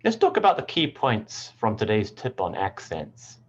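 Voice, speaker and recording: A man from New Zealand with a mixed New Zealand, American and British accent, explaining English pronunciation in a relaxed online lesson, with frequent ums. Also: fairly low pitch, moderate pace, slightly rough, muffled recording.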